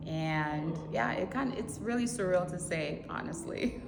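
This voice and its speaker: A woman from Haiti in her thirties, a marathon runner answering interview questions in an animated way, laughing often and repeating words for emphasis. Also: fairly low pitch, measured pace, vocal fry. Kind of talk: casual interview. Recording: echoey, reverberant with loud ambient noise.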